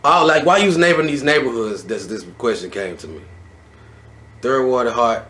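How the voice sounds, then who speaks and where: Animated man hosting a casual interview. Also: medium pitch, normal speed, a crowd in the background. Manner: animated, builds questions out loud, many "all right"s. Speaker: interviewer, show host.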